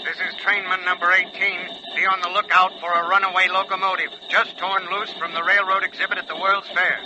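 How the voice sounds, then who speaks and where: A man's voice: high voice, little pitch movement, brisk, natural voice. An actor playing a businesslike trainman reading a formal, urgent bulletin in 1940s radio style. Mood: urgent, official. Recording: dull, noisy old radio bulletin.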